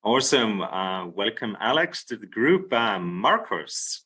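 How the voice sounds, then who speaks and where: Guy running a zoom session, lower voice but bright, lots of ums. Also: unhurried, breathy edge. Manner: relaxed, many fillers. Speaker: session host. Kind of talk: casual video call moderation. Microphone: video call.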